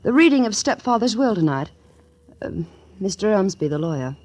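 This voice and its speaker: A bold femme fatale from a 1940s radio mystery. Her voice is husky and medium in pitch. She is arch and self-possessed, openly flirtatious yet cool. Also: very animated, moderate pace, darkish tone. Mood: composed.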